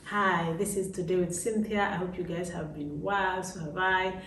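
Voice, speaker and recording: A woman's voice: fairly low pitch, fairly even intonation, normal speed, loud music behind the voice. A middle-aged woman with a Ugandan accent talks reflectively and directly to her viewers in a personal vlog, stressing points through repetition. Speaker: vlogger, host. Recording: echoey room, distant microphone.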